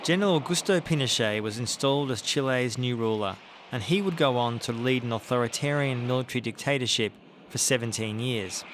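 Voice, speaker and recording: A man narrating a documentary podcast from a script, in a measured, even delivery. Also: medium pitch, background noise. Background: faint music.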